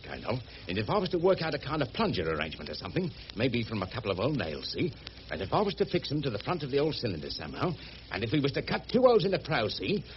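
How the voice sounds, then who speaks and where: A rough but kindly riverboat man in a radio drama. His folksy, rambling speech rises and falls with great animation. The sound is muffled, with faint noise behind it. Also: mid-range voice. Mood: earnest, building excitement. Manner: colloquial, rambling, folksy working-class speech. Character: rough, good-hearted working-class boatman, chatty and affectionate.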